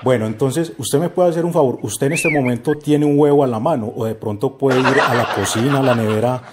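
A man with a Colombian accent plays a courteous, flattering official on a prank phone call. His voice sits at a middling pitch. The phone recording is heavily covered in noise. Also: a little fast. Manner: polite, feigned earnest, flattering. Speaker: prank caller. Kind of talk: mock-official phone survey. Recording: very noisy telephone call recording.

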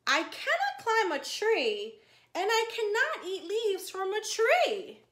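A woman doing a squeaky, eager little male animal. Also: huge pitch swings, takes it slowly, bright, forceful tone, echoey room, heavily acted. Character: timid eager young male zoo animal.

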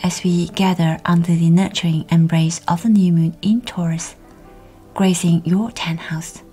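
A woman guiding a meditation in a soft, breathy, near-whispered voice with a soothing, measured delivery. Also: lowish voice, fairly even intonation. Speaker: guided meditation host. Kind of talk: guided meditation, scripted narration. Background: background music.

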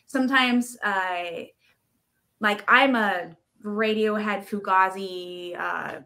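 A woman's voice: mid-range voice, slow, pushed and bright. A middle-aged woman, a band musician, chatting casually as an interview guest on a video call. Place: indoor room, video call.

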